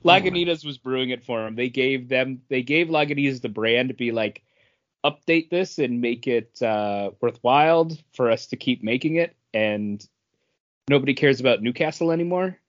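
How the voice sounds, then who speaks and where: Man chatting haltingly, dull lo-fi sound. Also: mid-range voice, no echo. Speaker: podcast co-host. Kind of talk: casual chat explaining beer industry news.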